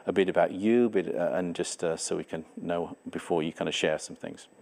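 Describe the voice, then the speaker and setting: Low-voiced English man hosting church. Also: steady tone, a little fast, vocal fry, a little mellow. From England. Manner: loose, filler-heavy welcoming. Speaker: church host.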